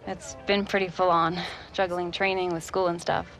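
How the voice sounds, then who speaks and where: A woman's voice: lowish voice, level tone, normal speed, lightly acted, poor audio. A young woman playing an upbeat, loyal teenage girl soccer player in a naturalistic video game cutscene. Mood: upbeat, chatty. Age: young adult.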